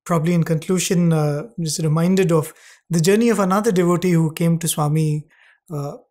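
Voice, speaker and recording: A man narrating a devotional anecdote conversationally, using tag questions and gentle humour. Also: on the high side, steady tone, brisk. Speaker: satsang co-host.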